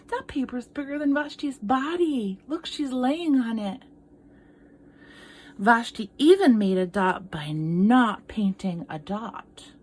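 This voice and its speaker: A woman art teacher reading a picture book aloud to children, expressively, pausing for asides and questions to young listeners. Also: medium pitch, a little mellow, breathy edge.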